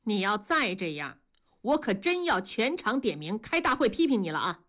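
A woman's voice: medium pitch, sing-song, normal speed, a bit bright. A woman voicing a stern, fatherly middle-aged man, a factory master who lectures in an exasperated tone. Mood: scolding.

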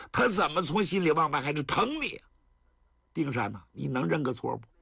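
A man's voice: a little high, creaky, a bit bright, slightly rough, dry recording, studio quality, exaggerated voice. A male storyteller playing a blunt old general in his eighties, scolding and coaxing a young man in an earthy, exasperated way.

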